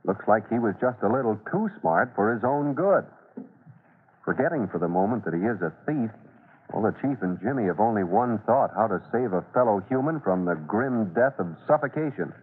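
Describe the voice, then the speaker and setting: A man narrating an old-time radio serial as its announcer, in a theatrical, deliberate style. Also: fairly low pitch, expressive, slightly rough. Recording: tinny, band-limited old radio recording.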